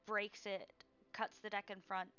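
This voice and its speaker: A woman game master narrating a tabletop fantasy game in a measured, hushed descriptive way, on a slightly dull microphone. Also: mid-range voice, little pitch movement.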